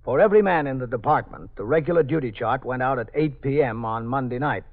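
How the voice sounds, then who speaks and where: An American man playing a police captain who narrates his night tour in a measured, matter-of-fact, official manner in a 1950s radio drama. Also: mid-range voice, natural voice. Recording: dull 1950s radio broadcast.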